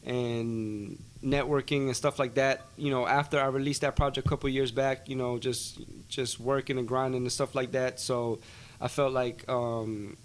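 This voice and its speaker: A man's voice: mid-range voice, flat delivery, normal speed, slight background noise. Laid-back slangy young man rapper. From Silver Spring, Maryland, USA. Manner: laid-back slangy talk, many fillers and "you know what I'm saying". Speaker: guest rapper. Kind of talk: casual interview chat.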